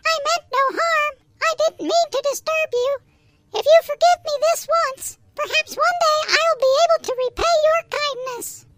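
A man voicing a tiny, timid, polite boy mouse with a squeaky, pleading voice. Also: normal speed, heavy background noise.

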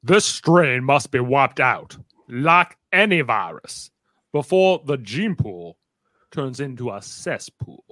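Hateful hard-line politician, male actor, very slow declamation, sharp bright edge, radio drama. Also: medium pitch, very animated, no echo, clean studio sound, heavily acted. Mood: venomous. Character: hateful right-wing extremist male senator in debate.

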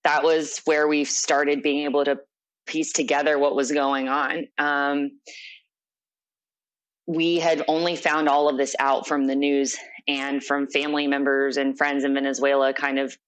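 Young woman recounting an ordeal, flat-voiced. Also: deep voice, a little slow, low-quality recording. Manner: earnest, reflective storytelling. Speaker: interviewee. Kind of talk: personal account in conversation.

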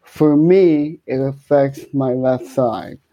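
Dark-voiced man with slurred speech. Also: medium pitch, slow pace, no echo, muffled recording. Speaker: podcast guest, guitarist and musician. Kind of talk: conversational interview answers.

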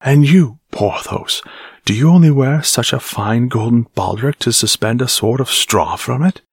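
A man's voice: low voice, animated, measured pace, husky, no room sound, exaggerated voice. A man voicing an angry middle-aged captain of musketeers, scolding his men. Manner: scolding, shouting.

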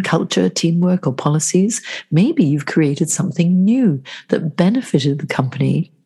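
A woman's voice: sits low, mellow, slightly rough. A middle-aged woman hosting a solo advice podcast, sounding congested and throaty from the flu, talking in a chatty, coaching way that asks the listener questions. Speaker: podcast host.

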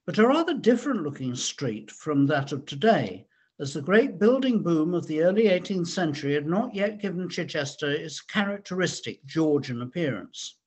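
A man reading a scripted history narration in a measured, storytelling way. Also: a little high, big pitch swings, darkish tone, breathy edge.

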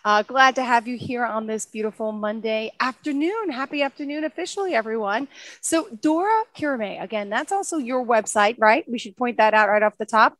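Woman podcast host with a fairly high voice and a dry, close sound. She gushes over her guest, with exclamations and endearments. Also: a little fast, a bit bright, no room sound. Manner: effusive and gushing, uses endearments. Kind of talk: interview chat.

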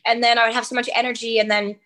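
Upbeat woman, slightly high. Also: racing. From Australia. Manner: animated storytelling with light laughs. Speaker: guest nutritionist. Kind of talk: conversational interview.

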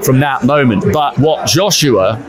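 A man talking warmly and at length in an interview, full of enthusiasm, often restarting his words. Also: medium pitch, lively intonation, measured pace, crowd noise. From Britain. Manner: enthusiastic, fond, rambling with repeated restarts. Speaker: interview guest. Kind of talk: animated interview talk.

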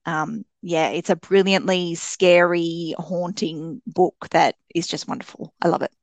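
A woman's voice: on the low side, little pitch movement, measured pace, no room sound. A woman speaking as an author guest on a podcast, chatting casually and effusively. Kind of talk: casual, enthusiastic interview chat.

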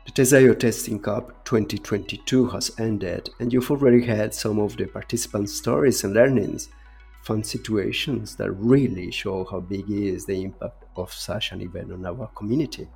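A man with a low, husky voice hosts a podcast interview, introducing topics and putting questions to guests. There is a creaky grain to his speech, and music plays faintly behind him. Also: steady tone, normal speed, a little mellow. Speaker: podcast host.

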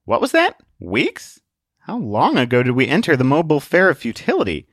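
A man's voice: medium pitch, huge pitch swings, brisk, fairly bright tone, no echo, clean studio sound, pitched above the performer's own voice, exaggerated voice. A man voicing an exasperated but reasonable pilgrim in a storybook, earnest and argumentative.

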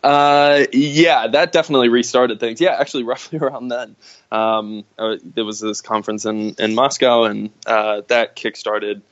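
A man chatting casually in a conversation, talking haltingly with many fillers and restarts. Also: mid-range voice, fairly even intonation, no room sound. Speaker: guest. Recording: dull lo-fi sound.

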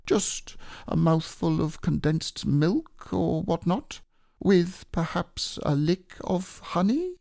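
Man playing a simple storybook bear, high-pitched, mellow, humble, dreamy, hesitant. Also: lively intonation, takes it slowly, vocal fry, dry recording, studio quality, heavily acted. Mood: dreamy, wistful. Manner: hesitant, wistful, simple-minded. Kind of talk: storybook character reading.

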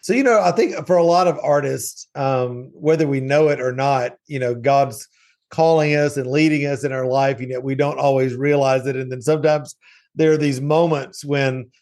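A man's voice: mid-range voice, steady tone, moderate pace, a little mellow. A man hosting a podcast, upbeat and friendly, leading the interview with his guest. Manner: upbeat, friendly, uses fillers. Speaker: podcast host.

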